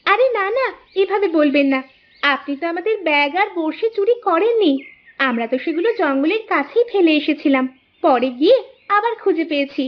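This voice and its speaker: A performer voicing a bossy, quick-witted village girl, the big sister in a cartoon. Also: very high voice, expressive. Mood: kind. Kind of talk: cartoon voice acting. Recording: dull lo-fi audio.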